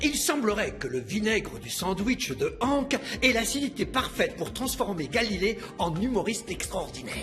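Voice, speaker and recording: An earnest young-sounding inventor in a French cartoon. He speaks very high, with a polite, fretful edge. Music plays behind him. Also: sing-song, moderate pace, hardly any pauses. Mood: eager. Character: earnest, anxious male inventor and would-be actor.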